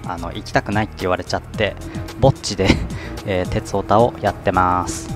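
A man's voice: sits low, a little fast, music in the background. A man filming trains from an outdoor station deck, chatting casually and hesitantly as he points out each train. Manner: relaxed, chatty, frequent "ne" fillers and hesitations. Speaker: vlogger. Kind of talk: casual train-spotting commentary. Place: outdoor station deck overlooking rail yard.

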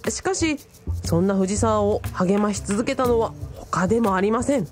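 A woman's voice: medium pitch, normal speed, darkish tone, faint music behind, lightly acted. A woman playing an earnest young male part-timer in a radio drama, telling his tale with pompous, very polite theatricality. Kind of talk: radio drama, formal old-fashioned storytelling.

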